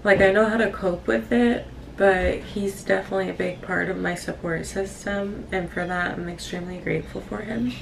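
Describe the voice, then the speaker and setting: A young woman talks casually and candidly to her viewers, wandering from thought to thought. Her voice is creaky and fairly even in pitch. The recording has clearly audible noise. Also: normal speed, few pauses. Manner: rambling, candid, apologetic. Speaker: vlogger. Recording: distant mic, clearly noisy room.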